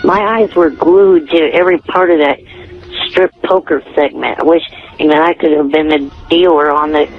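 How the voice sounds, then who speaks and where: A man calling in to a radio show, speaking in an earnest, literal and halting way. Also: fairly high pitch, fairly even intonation, faint music behind. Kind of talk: phone-in conversation. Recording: dull telephone call on radio.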